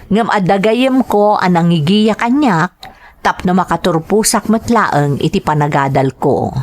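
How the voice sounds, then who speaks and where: A woman's voice: lowish voice, animated, measured pace, a little mellow. A woman with an Ilocano accent hosting a story podcast, reading out greetings and a listener's letter. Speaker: podcast host and story narrator.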